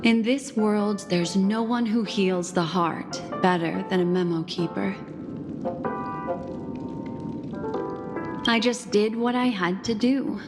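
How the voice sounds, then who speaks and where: With long reverb and music behind it, an actress voices a mysterious female memory keeper from a video game. She is poised and confident, speaking at a middle pitch in a measured, coaxing way with a gently teasing edge. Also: natural voice. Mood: soothing. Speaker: game character voice actor. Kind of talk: scripted video game dialogue.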